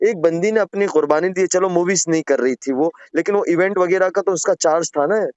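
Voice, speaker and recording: A man speaks in a somewhat high voice, earnestly and respectfully making his case. He is a caller in a private conversation. The sound is a dry, muffled recorded phone call. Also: fairly quick, a little mellow, smooth, no room sound. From Gujarat, India. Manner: deferential, earnest, repeatedly addressing the listener respectfully.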